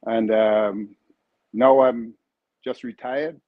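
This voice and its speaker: A man's voice: medium pitch, steady tone, dry recording. Retired man from Canouan, muffled line. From Canouan, Saint Vincent and the Grenadines. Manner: laughing, halting with fillers. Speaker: guest. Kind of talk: casual interview chat.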